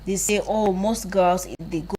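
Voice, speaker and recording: A Nigerian woman co-hosting a casual radio chat, calmly making her points about a film at length. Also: medium pitch, a little slow, a little hiss or hum. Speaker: radio co-host. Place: radio studio.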